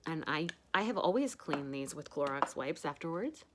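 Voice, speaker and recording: Low-voiced woman chatting through makeup. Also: lively intonation, moderate pace, noisy background. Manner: chatty, rambling with many fillers. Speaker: makeup tutorial host. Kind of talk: casual makeup tutorial. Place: home.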